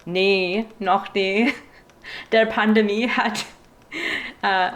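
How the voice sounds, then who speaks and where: A woman with a foreign accent chatting casually in a language that is not her own, pausing to search for words. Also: medium pitch, steady tone, a bit bright. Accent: non-native, foreign-accented German. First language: not German; speaks it as a foreign language. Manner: halting, pauses to search for words. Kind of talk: casual language-practice chat.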